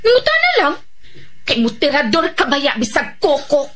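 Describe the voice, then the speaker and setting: A woman's voice: high-pitched, huge pitch swings, moderate pace, fairly bright tone, a little hiss or hum, heavily acted. A woman with a Cebuano Filipino accent playing a feisty, chatty, teasing woman in a radio drama, full of familiar exclamations. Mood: mock-threatening.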